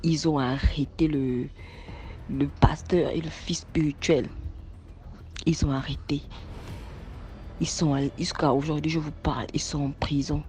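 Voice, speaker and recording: A woman's voice: sits low, steady tone, a little slow, pauses often, dark tone. A woman telling a true spooky story in an earnest way, repeating phrases for emphasis, then urging listeners to pray and subscribe. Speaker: story contributor. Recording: slightly dull sound with faint noise.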